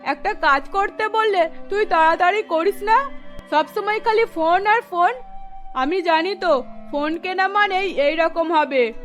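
A woman's voice: very high voice, unhurried, a bit bright, soft background music. A woman voicing a strict, worried middle-aged mother who admonishes and nags in a cartoon. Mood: scolding. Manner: admonishing, nagging. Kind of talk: Bengali cartoon voice acting.

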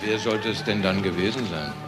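An actor playing a businesslike, persistent homicide detective in a German crime radio drama. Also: male voice, lowish voice, normal speed, loud music behind the voice, natural voice. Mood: probing.

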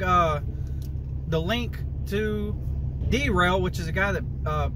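A man, chatting casually and folksily as he drives and vlogs. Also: high-pitched. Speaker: vlogger. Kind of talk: casual chat while driving. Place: cab of a moving truck on a highway. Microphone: camera at about arm's length. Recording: loud road noise inside moving vehicle. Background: loud engine and road noise.

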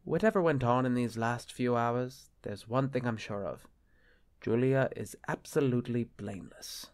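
An actor playing a proud, jealous middle-aged bishop in a radio-style drama, curt and indignant. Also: male voice, mid-range voice, normal speed, natural voice. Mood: testy. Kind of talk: radio-play style acting.

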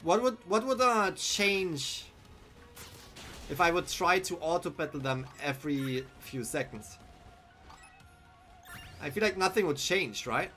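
Young German-accented male streamer, headset, slightly high voice, chatty live commentary over faint game music. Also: animated, a little slow, pauses often, sharp tone. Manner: chatty, thinking aloud, answers chat. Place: small room indoors.

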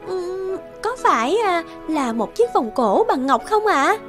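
A woman's voice: very high voice, very animated, a little slow, music in the background, full character voice. A woman playing a vain, spoiled teenage girl in a cartoon fairy tale, whiny and demanding.

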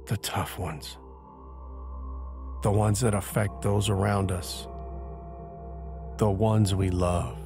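A man narrating an audio drama in a deliberate, brooding way, pausing between short phrases for dramatic weight. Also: fairly low pitch, little pitch movement, vocal fry, music in the background.

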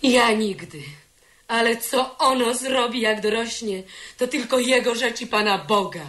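A high-voiced, defiant servant girl. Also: measured pace, a bit bright. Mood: resolute. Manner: blunt, defiant, folksy. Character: wronged young servant girl, defiant, bitter, unbowed. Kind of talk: Polish radio theatre acting.

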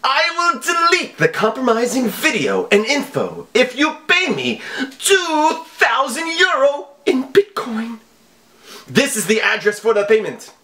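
An evil villain hacker with a made-up foreign accent. His voice is high and swoops widely, and he delivers threats slowly and theatrically in broken English, with boastful menace. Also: sing-song, sharp tone, exaggerated voice. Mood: menacing. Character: evil villain hacker, menacing, over-dramatic male scammer.

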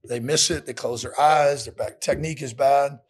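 A man's voice: mid-range voice, steady tone, moderate pace, slightly rough. A middle-aged American man, a football coach, answering questions in a plain, colloquial way at a post-game press conference podium. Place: indoor press room at a podium. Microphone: podium microphones.

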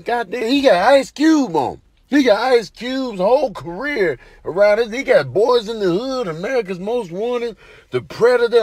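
High-pitched, animated male comedian. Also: dry recording. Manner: exaggerated, incredulous, slangy. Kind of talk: comedic voiceover commentary.

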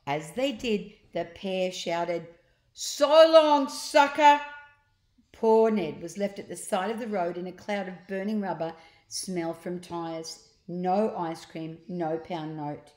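A woman in her sixties reading a children's story aloud expressively and deliberately, with asides to young listeners. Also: on the low side, steady tone, warm tone. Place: large open living room, indoors. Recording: roomy, echoing living room.

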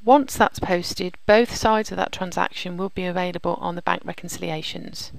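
A woman narrating a software demonstration as a presenter, explaining things step by step. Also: lowish voice, steady tone, moderate pace, creaky, no echo, poor audio. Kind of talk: scripted software demo narration.

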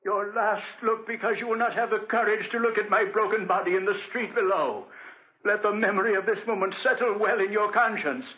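An actor playing a frail, ruined old man with a high husky voice, melodramatic and anguished in 1940s radio style. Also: a little fast. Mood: defiant, dying. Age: older. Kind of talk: 1940s radio drama acting. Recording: dull 1940s radio recording.

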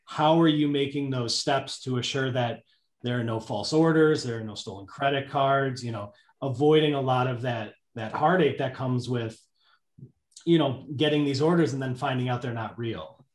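A man's voice: medium pitch, moderate pace. Man moderating a panel, roomy echo. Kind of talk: conversational interviewing. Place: indoor room, on a video call. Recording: roomy, echoey video call.

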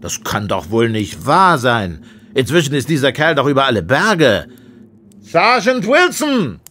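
A man's voice: medium pitch, big pitch swings, unhurried, sharp tone, heavily acted. A man playing a self-assured, brusque police inspector in an audio drama. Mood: indignant. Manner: brusque, indignant, emphatic. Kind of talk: German audio drama acting.

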